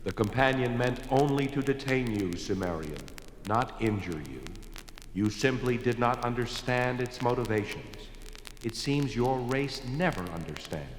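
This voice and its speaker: A reverberant, solemn ancient monster. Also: male voice, slight background noise. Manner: stately, formal, grave. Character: ancient, solemn, sorrowful alien monster, last of its race. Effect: booming reverb for a telepathic voice. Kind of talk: 1970s audio drama acting. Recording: echoing long reverb.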